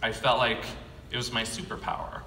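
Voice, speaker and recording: An American man in his thirties giving a prepared autobiographical keynote speech, telling his story in measured short phrases with pauses. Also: medium pitch, level tone, pushed and bright. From California, United States. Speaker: keynote speaker, animation artist. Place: conference stage at a podium, indoors. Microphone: podium gooseneck microphone. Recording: echoey hall PA, faint background noise.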